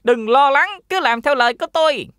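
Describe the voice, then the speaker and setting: A woman's voice: sits high, brisk, a bit bright. A woman voicing a clever, boastful talking cat with a self-assured, persuasive manner in a children's fairy-tale cartoon. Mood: instructing.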